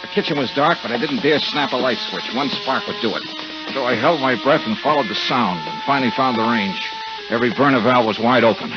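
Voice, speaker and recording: An actor playing a tough, wisecracking investigator in 1950s radio detective drama, blunt and sardonic, with a husky voice. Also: male voice, mid-range voice, fairly quick, over loud music, lightly acted. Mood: tense narration. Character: tough, brash, wisecracking American male investigator. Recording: dull 1950s radio broadcast recording.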